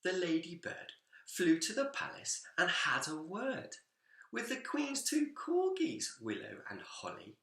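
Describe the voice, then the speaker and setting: A man reading a children's picture book aloud in an expressive, animated storytelling manner. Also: sits high, a little slow, gravelly. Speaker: school story-time reader, teacher. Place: indoors, seated in a reading chair. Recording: echoey room.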